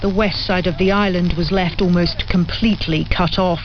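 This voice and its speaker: A woman's voice: fairly low pitch, steady tone, normal speed. A woman news correspondent delivering a scripted report. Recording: muffled, lightly noisy shortwave radio relay.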